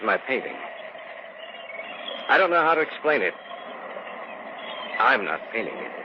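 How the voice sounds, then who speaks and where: An actor playing an uneasy painter in an old radio ghost story, with an intense, dramatic delivery. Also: male voice, medium pitch, sing-song, measured pace. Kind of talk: 1940s-50s American radio acting. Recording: noisy, muffled old radio recording.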